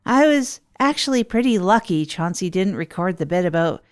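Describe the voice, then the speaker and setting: A woman's voice: on the low side, animated, normal speed, lightly acted. Woman acting a rambling, self-deprecating radio host. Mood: rueful, reflective. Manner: rambling, hesitant, confessional. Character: self-deprecating failed-entrepreneur radio host Tog, trying stand-up comedy. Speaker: host of an advice radio show. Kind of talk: improvised comedy monologue in an audio drama.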